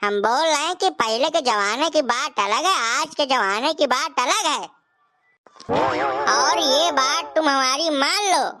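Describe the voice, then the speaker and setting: Woman playing a bright, high-pitched cheeky village fellow. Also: a little slow, soft background music. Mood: scolding, triumphant. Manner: taunting, colloquial, insistent. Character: cheeky, argumentative younger village man, teasing and insistent. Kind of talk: comic cartoon dialogue.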